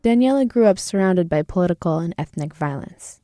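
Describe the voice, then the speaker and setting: A woman reporter narrating a radio story in a clear, explanatory way. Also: fairly low pitch, lively intonation, brisk, mellow.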